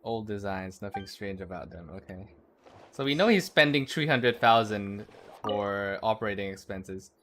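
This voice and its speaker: A man's voice: fairly low pitch, animated, normal speed, a bit bright, a little hiss or hum. Casual, slangy man streaming a game. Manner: slangy, offhand, muttering to chat. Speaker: livestreamer, VTuber. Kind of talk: casual gameplay stream commentary.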